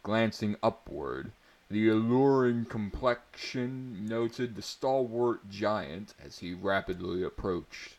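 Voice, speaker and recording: A man with a fairly low voice narrates pulp fantasy at a very slow pace. He is theatrical and mock-serious, and he drops into amused asides about the text. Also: animated, a little mellow, dull audio.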